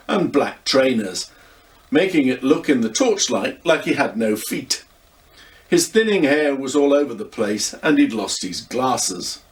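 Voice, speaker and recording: A man reads a children's story aloud with lots of expression, turning now and then to speak to the listener. His voice swings widely and sounds a little distant from the mic. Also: mid-range voice.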